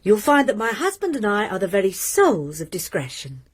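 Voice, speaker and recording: A woman's voice: medium pitch, very animated, moderate pace, husky. An actress playing a deferential, hopeful landlady who is eager to please her new lodger. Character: anxious, respectful middle-aged landlady, hopeful. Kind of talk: radio drama acting.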